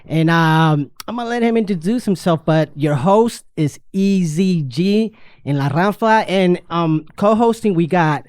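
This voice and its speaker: A man hosting a podcast, speaking casually from inside a car. He is relaxed and drops Spanish words into his English. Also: high-pitched, a little slow, clear, resonant tone, no echo, clean studio sound. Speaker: podcast host.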